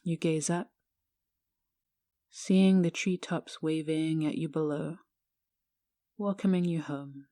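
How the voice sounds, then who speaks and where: A woman guiding a meditation in a hushed, soothing, measured manner with long pauses. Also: low voice, fairly even intonation, no room sound, studio quality. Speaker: yoga teacher guiding a meditation. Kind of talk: guided meditation narration.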